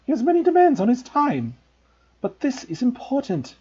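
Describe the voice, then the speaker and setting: A strict older woman professor, clipped and commanding, as a man reads her in a raised, lively voice. The voice itself is dark and husky. The recording sounds dull and lo-fi. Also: very high voice, moderate pace, voice pushed up, full character voice. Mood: stern.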